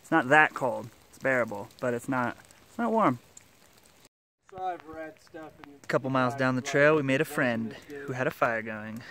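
A man's voice: mid-range voice, expressive, moderate pace, pauses often, bright, forceful tone. A man in his thirties chatting casually while hiking outdoors on a forest trail, filmed on a handheld camera at arm's length. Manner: upbeat, chatty, out on the move. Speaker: vlogger hiking a long trail. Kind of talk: casual vlog narration.

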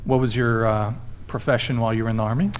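A man hosting a live dating talk stream at an average pitch. He questions each guest in turn in a brisk, joking way. He keeps the show moving with casual remarks. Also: little pitch movement, slight background noise. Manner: joking, brisk interviewer, fixes tech mid-show. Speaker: dating panel show host.

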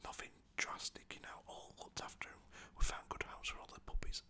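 A person with a British accent whispering casual, cheeky, slangy stories in a breathy ASMR style. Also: a little fast, pushed and bright. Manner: cheeky, chatty, slangy, mild swearing. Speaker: storyteller talking about own pets.

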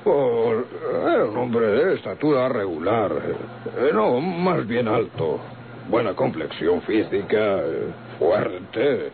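A man's voice: fairly low pitch, big pitch swings, slow pace, full character voice. An actor playing a deferential older night watchman with a Mexican accent in an old radio soap, hesitant, formal and apologetic. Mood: uneasy, apologetic. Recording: tinny, faintly noisy vintage radio recording.

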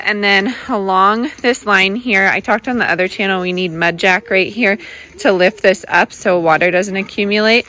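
Bright-voiced chatty young mom. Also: female voice, mid-range voice. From United States. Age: young adult. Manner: chatty and upbeat, lots of "like" and "uh". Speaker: family vlogger. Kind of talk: casual vlog talk to camera. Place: indoors room, then snowy backyard outdoors. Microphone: phone held at arm's length.

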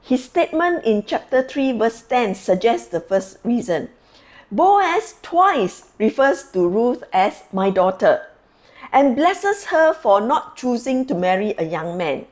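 A middle-aged woman pastor giving a sermon in a deliberate, emphatic way with measured pauses. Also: a little high, big pitch swings. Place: small quiet office, indoors. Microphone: lapel mic.

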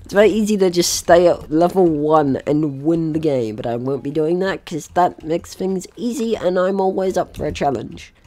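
A man's voice: a little high, lively intonation, moderate pace, a little mellow, dry recording. A man giving casual let's play commentary, chatty and jokey, talking to himself and the game's enemies. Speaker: gaming channel host.

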